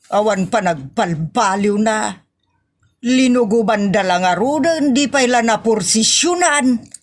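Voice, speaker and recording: A woman's voice: on the high side, lively intonation, measured pace. A woman with an Ilocano accent playing an exasperated, scolding woman of the household in a comedy audio drama, full of exclamations.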